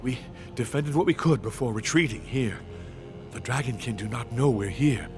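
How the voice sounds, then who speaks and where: A man playing a weary but steadfast soldier survivor in a fantasy game, reporting gravely. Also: mid-range voice, moderate pace, close to an everyday voice. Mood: sombre. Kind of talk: dramatic fantasy game cutscene acting. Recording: prominent background game music.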